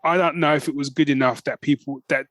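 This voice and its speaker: Higher-pitched man, casual podcast host. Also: fairly even intonation, fast, fairly bright tone, dry recording. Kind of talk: casual podcast chat and ranting.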